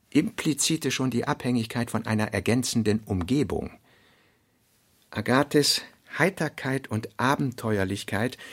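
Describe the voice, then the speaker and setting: A man narrates literary prose in a measured, reflective way. His mid-pitched voice has a gravelly creak, and the recording is dry and close, as in a radio production. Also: dry recording.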